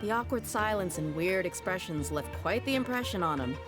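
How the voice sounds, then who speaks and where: A woman's voice: medium pitch, animated, brisk, music in the background, close to an everyday voice. A woman playing a confident young mercenary in a fantasy game, tough and easygoing, with a casual, teasing manner. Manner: casual, self-assured, teasing.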